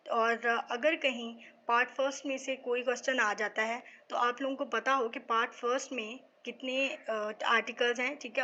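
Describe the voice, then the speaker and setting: A woman's voice: on the high side, bright, forceful tone. A Pakistani woman giving a methodical explanatory law lecture for exam students, often checking in with a short tag phrase. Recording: dull lo-fi microphone sound.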